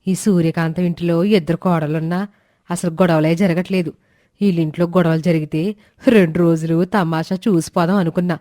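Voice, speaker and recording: A woman's voice: on the low side, level tone, fairly quick, dark tone, no room sound, studio quality, lightly acted. A woman voicing a scheming, envious middle-aged aunt who stirs up quarrels in a family story. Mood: spiteful.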